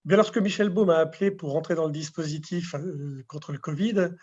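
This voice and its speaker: A man in his sixties or older giving halting interview testimony as a local official and longtime pharmacist. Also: on the high side, darkish tone, no room sound, lo-fi sound. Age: 60s or older.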